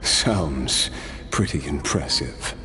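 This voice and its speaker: An actor playing a battle-hungry, wistful immortal warrior, with a measured, reflective storytelling delivery in a video game. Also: male voice, very deep voice, lively intonation, over music, exaggerated voice. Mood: wry, determined. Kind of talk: scripted video game dialogue.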